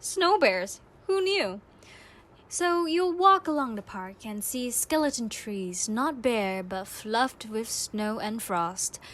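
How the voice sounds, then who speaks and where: Casting: woman in her twenties, higher-pitched voice, upbeat scripted delivery as a radio-style podcast host. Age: 20s. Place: indoors, air-conditioned room in Malaysia.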